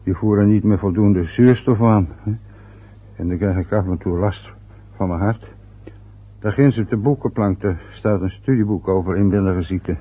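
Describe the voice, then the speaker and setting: A man's voice: lowish voice, normal speed, husky. An actor playing an aging, bitter father who grows short of breath, in a radio play. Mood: weak. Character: aging working-class father, bitter and ill. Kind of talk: radio drama acting. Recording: muffled old radio play recording.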